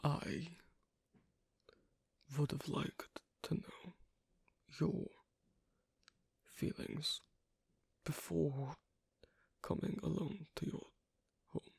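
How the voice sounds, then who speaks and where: A performer voicing a shy, awkward, asocial young werewolf in an intimate ASMR roleplay, speaking softly and haltingly in a breathy, husky near whisper. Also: mid-range voice, fairly even intonation, no echo, heavily acted. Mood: flustered, earnest. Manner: hesitant, halting, soft-spoken with long pauses.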